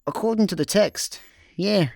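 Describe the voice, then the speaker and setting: A man's voice: sits high, normal speed, creaky, darkish tone, no echo, clean studio sound. A man playing an easygoing, slightly more sensible slacker in a comedy sci-fi radio play, casual and hesitant. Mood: sheepish.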